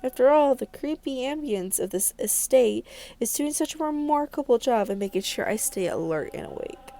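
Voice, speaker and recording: A woman's voice: high-pitched, lively intonation, normal speed, mellow. A woman reading a visual novel aloud as a game video creator, narrating dramatically and expressively with casual asides.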